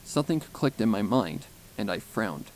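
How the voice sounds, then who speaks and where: A man's voice: fairly low pitch, sing-song, normal speed, a little mellow, poor audio. A man reading scripted first-person fan fiction as a story narrator.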